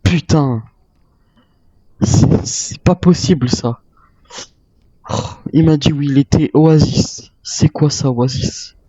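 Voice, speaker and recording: A man's voice: on the high side, slow pace, long pauses, mellow, dry recording, lo-fi sound. A man improvising in character as a survivor in a zombie game, thinking aloud as he plays. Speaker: gamer roleplaying a zombie-island survivor. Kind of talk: in-character gameplay narration, improvised.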